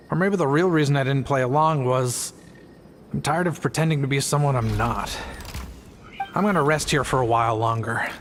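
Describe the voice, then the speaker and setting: A man's voice: medium pitch, a little hiss or hum, close to an everyday voice. A man voicing a weary, guilt-burdened family man in a video game, hesitant and confessional. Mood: weary, resigned.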